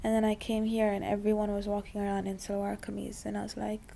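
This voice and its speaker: Gravelly-voiced young woman, chatty interview. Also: mid-range voice, completely flat delivery, quick pace, warm tone, slight background noise. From Dubai. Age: young adult. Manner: chatty, full of likes and you-knows. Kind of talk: casual interview.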